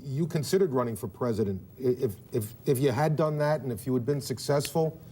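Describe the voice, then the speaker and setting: An American man hosting a live news interview, asking questions in a halting, deliberate way and repeating words mid-sentence. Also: mid-range voice, mellow, a little hiss or hum.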